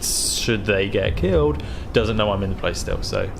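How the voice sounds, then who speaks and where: A man's voice: on the low side, expressive, moderate pace, vocal fry, background noise. A man playing a tabletop game, rambling through hesitant explanations. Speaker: player. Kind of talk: casual tabletop play.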